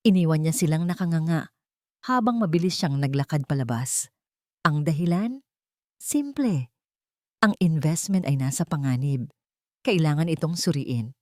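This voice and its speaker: Low-pitched, animated Filipino woman storyteller. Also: normal speed, long pauses, no echo, clean studio sound. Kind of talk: scripted narration of a romantic drama story.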